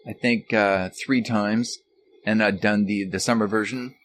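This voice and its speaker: A man's voice: on the low side, steady tone, a little slow. A man chatting casually as a guest author, joking and self-deprecating. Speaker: author, former radio technician. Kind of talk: casual chat. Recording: noticeable background noise.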